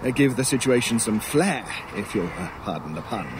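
A man acts out a wry crow from an audio drama, courtly and theatrically polite in his teasing. He speaks in an arch, genteel manner. Cawing and outdoor noise are clearly heard behind him. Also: mid-range voice, animated, normal speed, close to an everyday voice. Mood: wry, musing. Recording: clearly noisy bed of bird sounds. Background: crows cawing, outdoor ambience.

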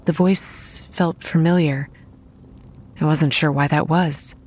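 A young woman playing a dazed, haunted campground manager, narrating in the first person in a horror audio drama in a measured, introspective way. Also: sits low, steady tone, darkish tone, slight background noise. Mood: dazed, uneasy. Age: young adult.

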